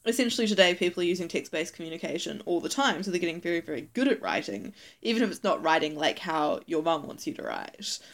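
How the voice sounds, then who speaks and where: A young woman with a New Zealand accent, co-hosting a podcast in casual chat, animated and laughing often. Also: fairly low pitch, quick pace, hardly any pauses. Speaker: podcast co-host.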